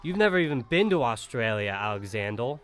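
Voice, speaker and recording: A man's voice: mid-range voice, very animated, brisk, close to an everyday voice. A man playing dismissive, matter-of-fact supporting characters in an acted audio drama. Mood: taunting. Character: male supporting characters: teasing boys and a dentist.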